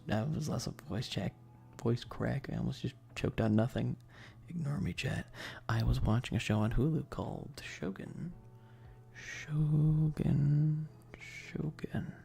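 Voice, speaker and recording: A soft-spoken ASMR livestream host who chats casually in a breathy voice that often drops to a whisper, rambling and hesitant in a self-deprecating way. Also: mid-range voice.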